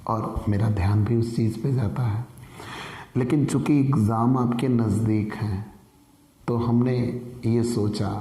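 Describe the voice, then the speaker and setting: A man with a sore, hoarse throat, teaching an online commerce lecture in an earnest manner and calling viewers dear friends. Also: lowish voice, mellow, echoey room, long reverb tail. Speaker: commerce tutor.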